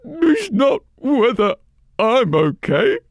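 A man voicing a ragged old woman who weeps as she speaks cryptically, in a high, tearful, quavering voice. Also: huge pitch swings, takes it slowly, dry recording, heavily acted.

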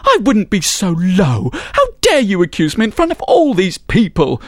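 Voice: medium pitch, huge pitch swings, normal speed, no room sound, studio quality, voice pushed up. A storyteller voicing a proud female thief who plays the offended respectable lady. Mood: indignant.

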